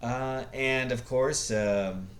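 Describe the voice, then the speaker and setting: A man's voice: mid-range voice, very slow, a little hiss or hum. A man giving casual, unscripted opinions like a video blogger, blunt and rambling, often hesitating as he searches for words.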